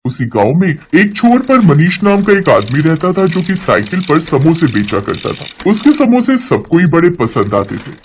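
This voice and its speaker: A man's voice: a little high, big pitch swings, normal speed, few pauses, slight background noise. A man narrating a scripted cartoon story as the storyteller.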